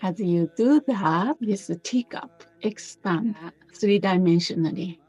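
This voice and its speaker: A woman teaching a guided meditation in a gentle, unhurried manner, pausing between short phrases. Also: mid-range voice, breathy edge, faint music behind.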